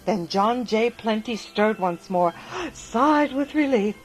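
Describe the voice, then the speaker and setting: A woman reading a rhyming children's story aloud in a gentle, sing-song manner. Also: a little high, animated, slow pace, soft background music. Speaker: narrator.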